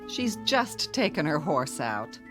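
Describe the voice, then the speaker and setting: A woman's voice: deep voice, very animated, slow, over music, exaggerated voice. A woman playing a genteel, affectionate middle-aged mother in a radio drama, solicitous and fussing. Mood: gracious.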